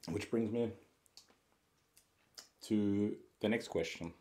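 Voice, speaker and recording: A deep-voiced Austrian man hosts an ASMR eating video. His English carries a Viennese accent with a trace of Scottish. He chats calmly and casually between mouthfuls. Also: slow pace. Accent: Viennese Austrian with a Scottish tinge. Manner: relaxed, chatting between bites. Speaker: mukbang host.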